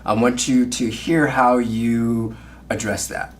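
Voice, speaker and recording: A male sales trainer delivering coaching feedback on a call. He speaks instructively at a medium pitch, offers praise and often checks in with short questions. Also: little pitch movement, slow pace, slight background noise. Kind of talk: coaching commentary on a phone call.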